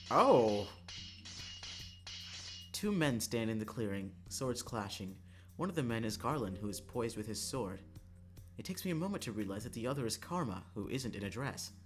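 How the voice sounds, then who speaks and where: A man streams a visual novel with a lively, swinging delivery. He is irreverent and casual, dropping profanity and jokes between read-alouds. Faint game music plays behind his voice. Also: on the low side. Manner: irreverent, profane joking, quick to laugh.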